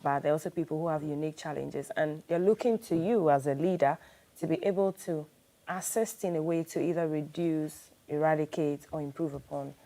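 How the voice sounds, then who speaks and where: A woman's voice: low voice, brisk, dark tone. A woman hosting a broadcast interview, asking questions and murmuring encouragement. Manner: encouraging, frequent murmured backchannels.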